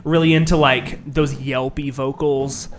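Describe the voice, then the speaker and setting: A young man with a high voice chats loosely about music, rambling and restarting with lots of fillers. Faint noise sits behind him. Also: level tone, a little slow. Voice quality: high register voice. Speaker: guest musician. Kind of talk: casual interview chat.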